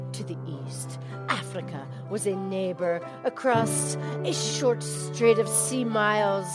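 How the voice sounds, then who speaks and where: A woman voicing a gentle female cow in a low, soft, deliberate voice, sometimes reading a tale aloud in character. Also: lightly acted. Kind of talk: storybook narration in character.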